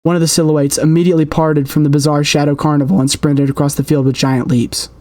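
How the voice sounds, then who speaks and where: A man's voice: a little high, flat delivery, fast, darkish tone. A man reading a horror game's story aloud with theatrical, suspenseful delivery, as a player narrating.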